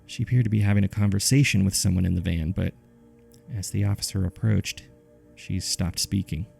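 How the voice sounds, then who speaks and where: A man with a gravelly, husky voice reading scripted horror case files in a measured, subdued way, as narrator and host. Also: low-pitched, mellow.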